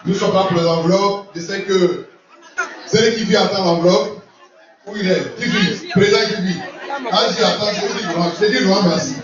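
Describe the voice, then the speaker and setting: A man's voice: sits high, normal speed. A man giving a jovial, laughing speech as sponsor at a community gala, often repeating himself. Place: large event hall. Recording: roomy, distant, lo-fi recording with crowd. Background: crowd of guests.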